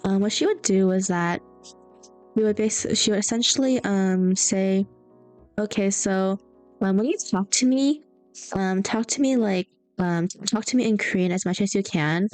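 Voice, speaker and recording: A woman's voice: medium pitch, moderate pace, a little mellow. A young woman streamer chatting casually and rambling through stories while she draws. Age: young adult. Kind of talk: casual chat while drawing. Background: faint background music.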